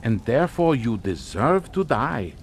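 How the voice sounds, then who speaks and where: Suave, sinister count, theatrically expressive. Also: male voice, medium pitch, a little hiss or hum. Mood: ominous, measured. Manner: formal, courtly, deliberate. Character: suave, sinister aristocratic Hungarian count and uncle. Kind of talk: radio suspense drama acting.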